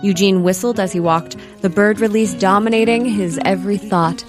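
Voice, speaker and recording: A woman's voice: mid-range voice, steady tone, normal speed, over music, close to an everyday voice. A woman playing an arch, theatrical narrator of a scripted comic story. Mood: arch, storytelling.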